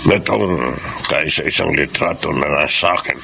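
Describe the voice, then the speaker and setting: An actor playing a frail, wealthy old man in a Filipino radio drama, speaking in a halting, solemn way. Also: a bass voice, vocal fry, background noise, exaggerated voice. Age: older. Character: frail, wealthy old man, grave estate owner and father.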